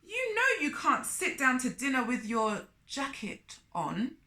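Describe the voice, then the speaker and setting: A woman's voice: sits high, huge pitch swings, a little slow, bright, forceful tone, roomy sound, pitched above the performer's own voice, heavily acted. A woman voicing a sly male turtle, polite but insistent, as a character in a children's storybook. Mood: politely insistent.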